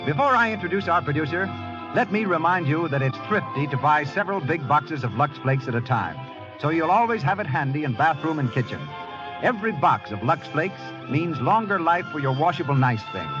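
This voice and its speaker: A man's voice: a little high, sing-song, normal speed. An American man working as announcer and narrator on scripted 1940s radio, with polished, declamatory delivery. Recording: lo-fi vintage radio broadcast. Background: music.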